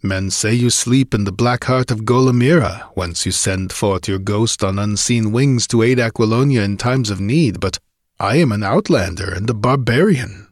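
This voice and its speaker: Barbarian monarch, read by a male narrator: low, bold, wary, plain-spoken storybook hero, recorded dry. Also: normal speed, no room sound, close to an everyday voice. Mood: bewildered. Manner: blunt, bold, archaic speech. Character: rugged barbarian king, blunt and wary. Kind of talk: dramatic audiobook fantasy reading.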